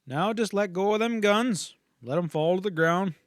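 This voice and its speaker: A man's voice: sits high, normal speed, smooth, no room sound, clean studio sound, voice pushed up, natural voice. A man playing a tough Texas Ranger in an audiobook western, speaking in a folksy, wry drawl with cool authority. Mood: commanding.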